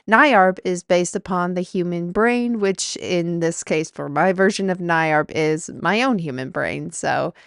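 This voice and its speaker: A woman's voice: on the low side, smooth, no echo, studio quality. A woman hosting her own audio show, speaking in a rambling, informal way. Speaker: creator and host. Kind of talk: informal episode introduction.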